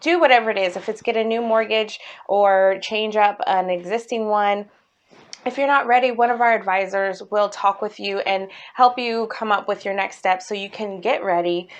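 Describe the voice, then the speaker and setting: Earnest, halting woman telling her story. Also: medium pitch. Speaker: company CEO. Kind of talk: personal story to camera, promotional talk.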